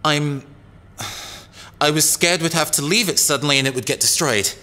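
A man's voice: a little high, moderate pace, bright, forceful tone. An actor playing an earnest, anxious young male rookie in a science-fiction audio drama, with a hesitant, worried delivery. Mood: nervous, defensive.

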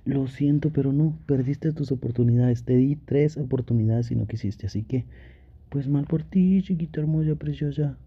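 A man's voice: mid-range voice, moderate pace, husky, dull audio. A young man playing an affectionate, teasing, pouty boyfriend in an intimate ASMR roleplay, talking playfully and pleadingly with sweet pet names. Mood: sulky, coaxing. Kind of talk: Spanish ASMR boyfriend roleplay, intimate one-sided dialogue.

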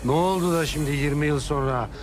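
An actor playing a quick-tempered, domineering middle-aged man who scolds and shouts in coarse slang. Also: a little high, natural voice. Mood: menacing. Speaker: Affan, a local strongman. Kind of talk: TV drama acting. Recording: TV drama with music score.

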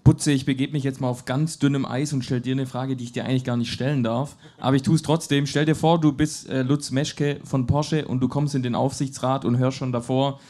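A man's voice: medium pitch, steady tone, brisk, few pauses, dark tone. A man hosting a live football panel, putting pointed, provocative questions to his guests into a handheld mic. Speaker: host. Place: pub stage before a live audience.

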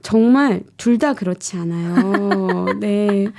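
A woman in casual conversation as a show regular, sharing personal anecdotes in an expressive way. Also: medium pitch, slow pace, vocal fry, background noise. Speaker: show regular, storybook-reading mother.

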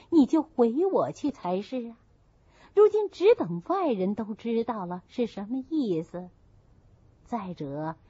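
Lady reader doing a stern sarcastic noblewoman telling someone off, audiobook, husky, kinda muffled recording. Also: mid-range voice, mellow, no echo, full character voice. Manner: reproachful, sneering. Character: stern scolding noblewoman stepmother, haughty and sarcastic.

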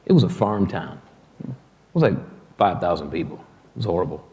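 A man's voice: sits low, lively intonation, moderate pace, darkish tone. A middle-aged man from Detroit, a guest musician telling stories in a loose, joking, profane way during an on-stage interview in front of an audience. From Detroit, USA. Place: hall with an audience. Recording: long hall reverb.

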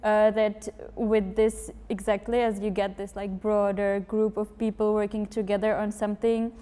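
A woman's voice: medium pitch, level tone, normal speed, warm tone, clean tone, big-room sound. A young woman, a magazine editor on a panel, talking eagerly with hesitant starts. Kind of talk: panel discussion. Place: room with curtains, seated on sofa.

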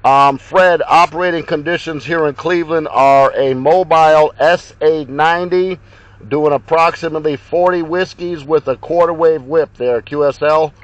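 A man from Ohio, a mobile radio operator in his car, talking in crisp radio procedure and spelling out call signs. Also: a little high, steady tone, normal speed, a little hiss or hum, low-quality recording. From Ohio, USA. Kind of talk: radio contact exchange. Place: inside a car. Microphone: handheld radio mic.

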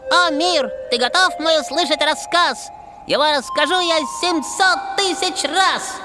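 High-pitched little poetry-reciting toy. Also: female voice, a little slow. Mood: rapt reciting. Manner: declamatory recitation. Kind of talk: children's cartoon voice acting. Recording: music behind the voice.

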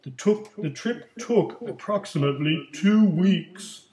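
A man playing an older male Chilean migrant who tells his story in a plain, steady way, in a school project role-play interview. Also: high-pitched, measured pace, echoey room, slight background noise, poor audio. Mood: calm.

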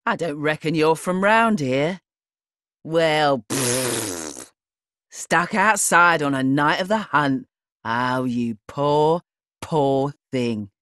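A spiteful townswoman in a dark video game, gloating with false pity. She drawls very slowly in a lowish, theatrical voice. The sound is dry. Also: animated, no room sound, full character voice. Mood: mock pity. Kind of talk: video game character acting.

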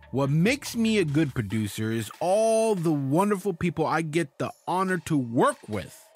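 A man hosting a podcast with an enthusiastic, informal and chatty delivery. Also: a little high. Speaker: podcast host and producer. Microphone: recorded at home, voice-over. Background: music.

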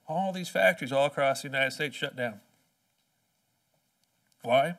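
A man in his forties giving an impassioned keynote speech at a podium, emphatic and rhetorical, with slow deliberate phrases. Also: mid-range voice, a bit bright. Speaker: keynote speaker. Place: large conference hall, at a podium. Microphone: podium microphone. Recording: distant conference hall sound.

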